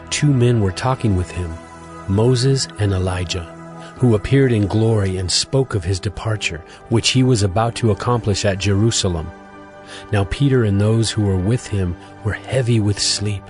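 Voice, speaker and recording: A man narrating scripture from a script as a measured storyteller. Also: on the low side, a little mellow. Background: music.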